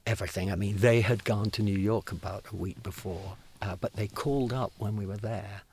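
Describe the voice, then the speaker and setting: A man, telling lively anecdotes with frequent stammered restarts. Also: lowish voice, fairly quick, vocal fry, slight background noise. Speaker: guest telling stories. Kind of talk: animated anecdote, acting out voices.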